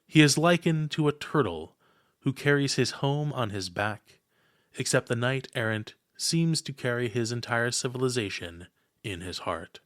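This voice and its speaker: A man reads a scripted essay in a formal, oratorical style. He phrases deliberately and pauses between clauses. The recording is dry and close, with no room sound. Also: medium pitch, animated, a bit bright, dry recording. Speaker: podcast host.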